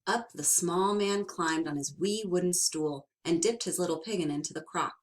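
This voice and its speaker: A young woman teacher reading a storybook aloud to children, slowly and expressively. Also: lowish voice. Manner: slow and expressive, asks the listeners questions.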